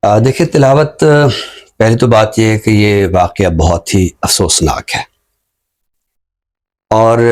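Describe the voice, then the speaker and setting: Low-voiced middle-aged Pakistani man, explaining deliberately. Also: a little mellow. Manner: explanatory, deliberate, with pauses mid-sentence. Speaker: literary talk show commentator. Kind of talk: reflective social commentary in conversation.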